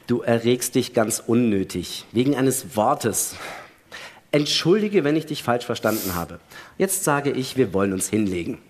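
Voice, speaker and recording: A man acting a wary, sarcastic astronaut in a dramatic radio play, argumentative and pointed, with a husky voice. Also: medium pitch, big pitch swings, unhurried. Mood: wary, placating. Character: wary, sarcastic male astronaut arguing with his crewmate. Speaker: actor in a radio play reading. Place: large hall, live staged reading. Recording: reverberant stage hall sound.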